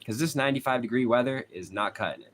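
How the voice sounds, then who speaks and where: A man's voice: mid-range voice, expressive, fast, a bit bright. A young man with a Boston accent hosting a casual true crime livestream, chatting with viewers, reading their comments aloud and laughing often. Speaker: true crime channel host. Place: hot room at home.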